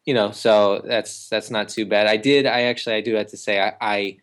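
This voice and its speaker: A man co-hosting a casual sports podcast, talking in a halting way full of ums and uhs, with dry jokes. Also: fairly low pitch, flat delivery, a bit bright. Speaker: podcast co-host.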